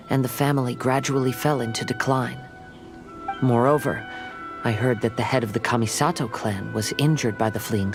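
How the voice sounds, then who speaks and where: An actor playing a calm, soft-spoken young wandering samurai in a video game, speaking in a measured, polite and formal way. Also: male voice, mid-range voice, close to an everyday voice. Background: game music.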